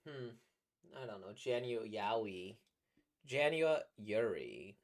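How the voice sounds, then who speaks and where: A man with a slightly squeaky, trebly voice, a voice actor casually reacting to comics in a rambling, playful way. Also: lively intonation, slow pace. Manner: rambling, playful, laughs at his own jokes.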